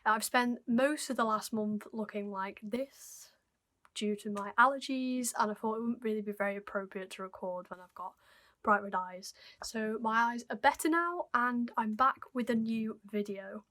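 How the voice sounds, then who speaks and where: Croaky woman, slightly high voice. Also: normal speed, fairly bright tone. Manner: chatty, apologetic, dwells on odd details. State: croaky, losing her voice. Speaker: YouTube host. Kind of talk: casual mystery storytelling to camera.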